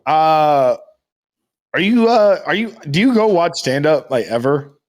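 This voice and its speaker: Casual man podcasting, slightly high voice. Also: animated, unhurried. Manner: informal, slangy. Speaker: podcast co-host. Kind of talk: casual chat.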